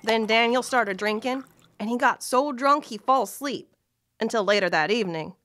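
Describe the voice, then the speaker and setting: Woman acting a folksy, higher-pitched Western narrator. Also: normal speed, a bit bright, no room sound, close to an everyday voice. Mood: wry. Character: folksy, wry female storyteller of a Wild West tale. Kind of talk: scripted audio play narration.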